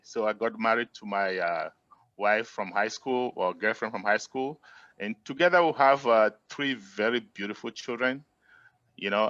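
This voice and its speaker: A middle-aged man from Cameroon, a guest in a casual interview who tells his story in a friendly, relaxed way with frequent "uh" fillers. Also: fairly low pitch, no room sound, muffled recording.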